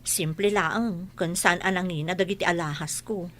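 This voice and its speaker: An actress with an Ilocano Filipino accent playing a vain, stubborn, demanding wife in a radio drama. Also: female voice, on the low side, fairly even intonation, moderate pace, fairly bright tone, natural voice. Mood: defiant.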